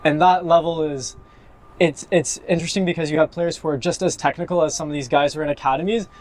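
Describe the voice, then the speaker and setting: A man's voice: a little high, fairly even intonation, quick pace, darkish tone. A young man from Toronto with a Canadian accent, talking casually as an interview guest about himself. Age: young adult. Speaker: guest, university soccer player. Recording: faint background ambient noise.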